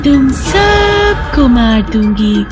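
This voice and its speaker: A woman voicing a demonic little witch girl, furious and out for revenge, shrieking drawn-out threats in a horror storybook tale. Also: sing-song, loud music behind the voice, heavily acted. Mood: vengeful fury. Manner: shrill drawn-out threats, shouting. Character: demonic witch baby girl, furious, avenging her mother.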